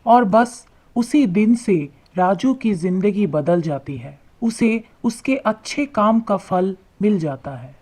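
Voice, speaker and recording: Woman telling a moral story for a cartoon, slow n deliberate, mid pitch. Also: lively intonation, darkish tone, slightly rough. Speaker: story narrator. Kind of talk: scripted storytelling narration for animated moral tales.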